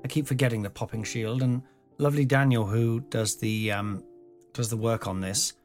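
A slightly croaky man hosts his own podcast, wandering from thought to thought in a chatty, self-mocking ramble with the odd swear word. He records at home in an old house on a mic with no pop shield, and faint music sits low in the background. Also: steady tone, moderate pace. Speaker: podcast host. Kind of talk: rambling solo podcast monologue. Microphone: home podcast mic without a pop shield.

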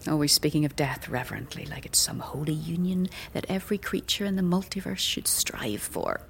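Woman acting a sardonic, low-voiced old crone. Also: fairly quick, gravelly, whispered. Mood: scornful, sardonic. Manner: wry chuckles, blunt archaic slang, addresses listener as boy. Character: very old striking woman, sardonic, weary, doubting death-cult priestess. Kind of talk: fantasy audio drama dialogue.

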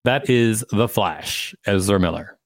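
A man's voice: fairly low pitch, unhurried, dry recording, studio quality. A man co-hosting a podcast, reading an article aloud and adding casual asides. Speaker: podcast co-host.

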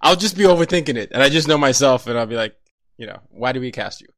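A man's voice: mid-range voice, lively intonation, quick pace, a bit bright, no room sound, clean studio sound. A young man from Nigeria interviewing a guest in a casual, conversational way, laughing often and restarting his words.

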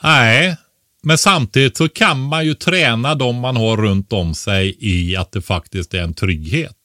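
A man's voice: mid-range voice, bright, forceful tone, no echo, clean studio sound. A man talking casually and giving explanatory advice as an expert podcast co-host, often tagging a question onto the end of his points.

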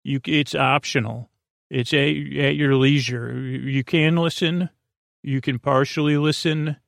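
A man with a creaky, gravelly voice rambles through a bedtime podcast monologue, halting, repeating himself and trailing off as host. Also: medium pitch, fairly even intonation, dry recording, studio quality. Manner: meandering, halting, repetitive, trailing off.

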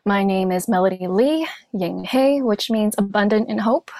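A woman from Hong Kong whose first language is Cantonese, a therapist guest telling her story in a thoughtful, measured way. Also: mid-range voice, a little mellow, no echo, clean studio sound. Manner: thoughtful, measured, speaks in lists. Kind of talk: reflective personal storytelling.

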